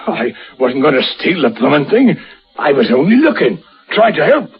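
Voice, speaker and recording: An actor playing a rough common sailor, deferential but defensive and colloquial, in a radio drama. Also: male voice, on the high side, sing-song, moderate pace. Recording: dull radio recording, faint music behind.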